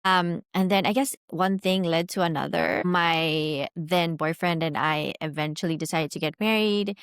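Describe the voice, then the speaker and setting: A woman in her thirties from the Philippines, answering interview questions in a thoughtful way with small fillers and pauses. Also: on the low side, steady tone, clear, resonant tone, no echo, studio quality. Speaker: guest.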